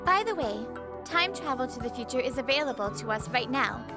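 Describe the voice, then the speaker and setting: A woman's voice: high voice, lively intonation, a little fast, over music. A woman playing a poised young female genius scientist, lecturing politely and articulately in a visual novel fan dub. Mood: assured lecturing.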